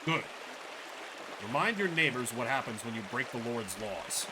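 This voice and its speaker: A man's voice: medium pitch, lively intonation, moderate pace, background noise, natural voice. A man voicing a stern, threatening gamekeeper in a video game, curt and commanding.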